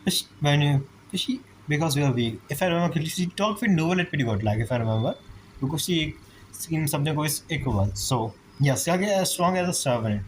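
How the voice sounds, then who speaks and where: A man giving casual, halting commentary on a video game, musing aloud as he plays. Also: a little high, animated, a little hiss or hum, poor audio. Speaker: gameplay streamer.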